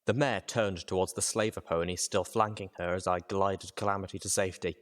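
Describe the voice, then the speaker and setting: A man with a low voice narrates fiction in the first person. He reads from a script as an audiobook narrator, with a dramatic storytelling delivery. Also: fairly even intonation, fairly quick.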